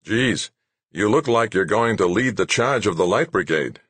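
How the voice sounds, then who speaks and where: Man acting an easygoing engineer, higher pitched. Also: lively intonation, normal speed, a bit bright, slightly rough, dry recording. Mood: teasing, resigned. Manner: casual, matter-of-fact. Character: lanky, easygoing male practical engineer, mildly sympathetic. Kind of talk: acted audiobook dialogue.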